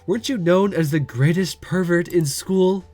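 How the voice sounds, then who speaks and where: A man reading the lines of a blunt, teasing young woman, a childhood friend, in a calm, dry way. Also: high-pitched, moderate pace, no echo, soft background music.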